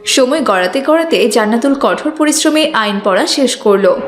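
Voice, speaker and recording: Female storyteller for cartoon: highish voice, scripted narration, echoey room sound. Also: lively intonation, normal speed.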